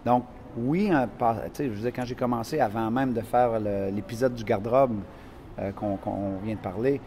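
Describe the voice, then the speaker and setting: A man with a Quebec French accent tells stories in a relaxed chat. He talks in a middle pitch and brings the scenes to life with lively voices and quotes. A faint hiss of noise sits behind him. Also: moderate pace. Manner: animated, acts out scenes and quotes. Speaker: motivational speaker, guest. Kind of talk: casual storytelling chat.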